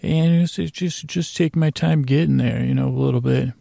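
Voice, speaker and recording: Man, heavy vocal fry, mellow tone, meandering bedtime podcast host, mumbles and stumbles, long pauses. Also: fairly high pitch, dry recording, clean studio sound. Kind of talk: rambling bedtime monologue.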